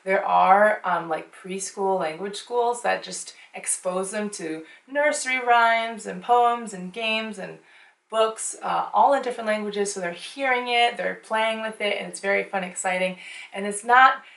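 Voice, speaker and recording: A woman's voice: medium pitch, unhurried, vocal fry, fairly bright tone. A woman from the United States whose first language was Chinese, giving earnest parenting advice in a casual vlog, with frequent ums. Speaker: parent and show host. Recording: roomy echo, mic at some distance.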